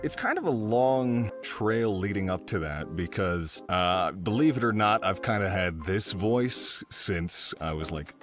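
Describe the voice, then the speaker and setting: Male interview guest, low smooth voice, lively swings in pitch, casual storyteller. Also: a little slow, few pauses, music in the background. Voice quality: smooth-toned. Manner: animated, slips into impressions. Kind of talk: casual interview chat with anecdotes.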